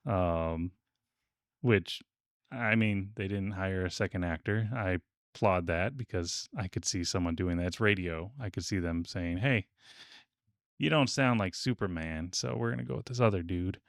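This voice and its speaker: A man's voice: sits low, moderate pace, creaky, no echo, studio quality. A man hosting a casual podcast discussion, explaining things in a hesitant way with asides. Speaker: podcast host. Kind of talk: casual discussion with research notes.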